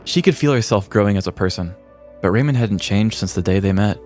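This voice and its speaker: A man's voice: fairly low pitch, animated, brisk, a little mellow, faint music behind. An American man hosting a podcast, reading a scripted comedic story with arch, theatrical mock-seriousness. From United States. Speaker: podcast host.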